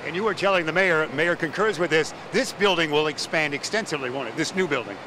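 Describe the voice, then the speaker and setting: Man city TV host, high and quick, chatty and jokey, faint outdoor noise. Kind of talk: on-location interview.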